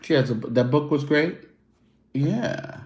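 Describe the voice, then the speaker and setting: A man's voice: a little high, expressive, takes it slowly, darkish tone, echoey room. A man hosting his own show, chatting loosely and casually with his viewers. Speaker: show host. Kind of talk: casual livestream chat.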